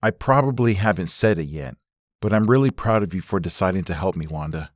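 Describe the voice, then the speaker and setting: A man playing an earnest, caring husband who explains things in a measured way in a comic dub. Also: low-pitched, expressive, dry recording, studio quality. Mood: tender, weary. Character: earnest, caring husband working through an investigation. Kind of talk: dramatic comic dub acting.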